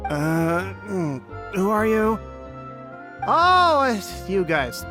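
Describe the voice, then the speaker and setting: Casting: drunk game businessman, slow and very animated, rambling theatrically. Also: high voice, over music, full character voice. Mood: sheepish, tipsy. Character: tipsy, chatty, easygoing businessman who drinks. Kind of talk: video game voice acting.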